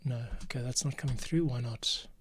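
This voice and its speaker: A man's voice: mid-range voice, fairly quick. A man thinking aloud in an informal way as he edits audio, often muttering to himself. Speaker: podcast producer and audio editor. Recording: noisy room with keyboard clicks. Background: typing, mouse clicks, audio being edited.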